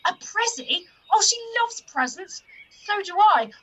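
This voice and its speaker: A cheeky young pantomime daughter, acted by a woman, high-pitched and excitable. There is faint noise behind her. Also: a little slow, full character voice, poor audio. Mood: excited. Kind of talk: pantomime radio acting.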